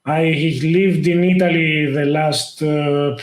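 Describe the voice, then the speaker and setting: A man talks casually as a guest, stopping often to hunt for words. His voice sits a little high for a man. The sound bounces around an echoey room. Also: steady tone, a little hiss or hum. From Greece. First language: Greek. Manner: halting, lots of "uh" fillers. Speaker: guest, tech YouTuber. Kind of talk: casual interview talk.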